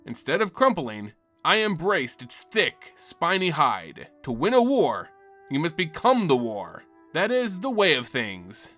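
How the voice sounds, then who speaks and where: A man voicing a ruthless, defiant crime-boss creature of living ether in a fantasy story, with grave, deliberate pronouncements. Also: a little high, huge pitch swings, pushed and bright, faint music behind, exaggerated voice. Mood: grim defiance.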